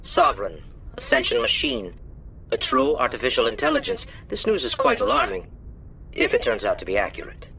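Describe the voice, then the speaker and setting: An actor playing a stern, skeptical alien councilor in a video game, formal, measured and dismissive. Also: male voice, mid-range voice, huge pitch swings, gravelly, sharp tone, husky, full character voice. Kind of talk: dramatic video game voice acting.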